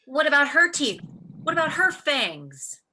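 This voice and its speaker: Radio play: ageing theatre diva, jealous and sardonic, forceful tone, dramatic swings in pitch. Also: female voice, sing-song, unhurried, full character voice, poor audio. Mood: bitter sarcasm. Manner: biting, theatrical, sarcastic delivery.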